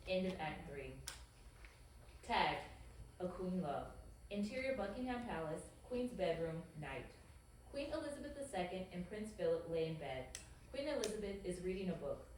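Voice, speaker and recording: A woman's voice: on the low side, very animated, moderate pace, a little mellow. A woman reading stage directions as scripted narration at a table read. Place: echoey room at a group table read. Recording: very echoey, distant mic, clear noise.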